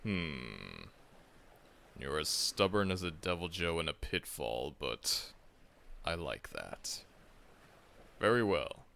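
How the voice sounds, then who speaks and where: A man's voice: rumbling low, sing-song, slow, vocal fry, long pauses, bright, forceful tone, full character voice. A man voicing a seasoned, friendly veteran monster hunter in an audio roleplay, chuckling often and teasing the listener.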